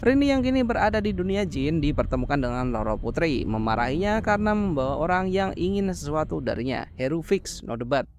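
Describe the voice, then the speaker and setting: A man narrating a horror film recap in a casual, brisk way, dropping slangy joking asides between plot beats. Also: on the high side, big pitch swings, a little hiss or hum.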